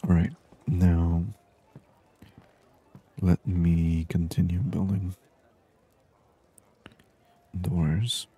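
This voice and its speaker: A man speaking softly and breathily in an ASMR gaming style, murmuring short thoughts aloud close to the microphone. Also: deep voice, little pitch movement, very slow, long pauses. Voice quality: soft, hushed, breathy voice. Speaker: gaming streamer. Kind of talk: soft-spoken ASMR game commentary. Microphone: close binaural microphone.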